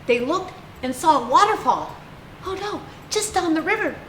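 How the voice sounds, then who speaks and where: A woman storyteller reading a picture book aloud to young children with theatrical, deliberate phrasing and dramatic emphasis. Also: very high-pitched, lively intonation, a bit bright, a little hiss or hum. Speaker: children's storyteller, clown character host. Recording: roomy echo, faint music behind.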